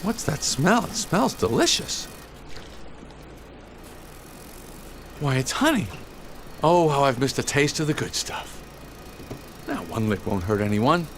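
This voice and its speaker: A man voicing a chatty, sardonic, excitable young bee in an animated game. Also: medium pitch, moderate pace, a little hiss or hum. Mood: delighted. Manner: sardonic, conversational, exclaiming. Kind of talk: animated game cutscene acting.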